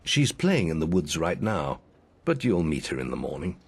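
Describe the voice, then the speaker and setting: A man playing an old guildmaster, a wry mentor and solemn storyteller in a fantasy video game, with deliberate, theatrical delivery. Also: extremely low pitch, very animated, gravelly, exaggerated voice. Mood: wry, doubtful. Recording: music behind the voice.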